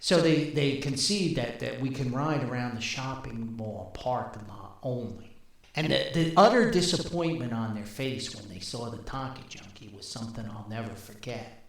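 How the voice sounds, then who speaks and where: A man's voice: medium pitch, moderate pace, vocal fry. An older man telling casual anecdotes as a podcast host, in a rambling, folksy manner. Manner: rambling, folksy, chuckles at own stories. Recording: very echoey, reverberant room sound.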